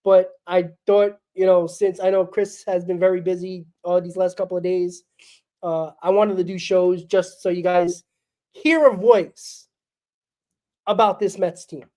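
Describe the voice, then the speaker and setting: A woman rants bluntly through a solo postgame fan show, exasperated and dismissive. She is sick, so her voice crackles and she coughs now and then. Her tone is dark and mellow. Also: lowish voice, fairly even intonation, a little slow.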